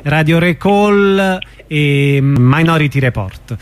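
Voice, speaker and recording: Higher-voiced male radio interviewer. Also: expressive, takes it slowly, clean tone.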